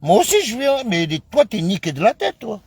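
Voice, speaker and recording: Man from France, high-ish voice, slow and insistent, streaming live into a close phone mic with dry sound. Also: sing-song, vocal fry, a little mellow, husky, no room sound. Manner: emphatic, repetitive, addresses viewers as brother. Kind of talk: casual live-stream rant.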